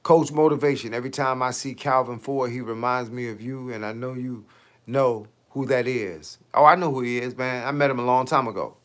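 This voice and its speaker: A man's voice: mid-range voice, fairly quick. A man in his late fifties, a fitness coach chatting casually on his livestream, slangy and talkative, answering viewers' comments with rhetorical questions. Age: 50s.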